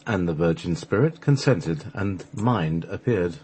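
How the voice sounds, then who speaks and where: A man reading scripted radio play narration in measured, solemn phrases, with a husky voice. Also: low-pitched, lively intonation, a little mellow. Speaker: radio show host and narrator.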